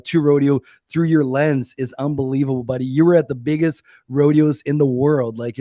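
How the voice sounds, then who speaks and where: A man hosts a podcast with hyped, emphatic energy and a joking streak, his voice of middling pitch. The sound is dry and close, with no room echo. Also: dark tone. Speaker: podcast host. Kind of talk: casual podcast interview.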